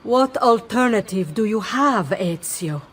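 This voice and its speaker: A woman's voice: medium pitch, lively intonation, a little slow, breathy edge. A woman voicing a composed female adviser in a historical video game. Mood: persuasive. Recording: long reverberant tail.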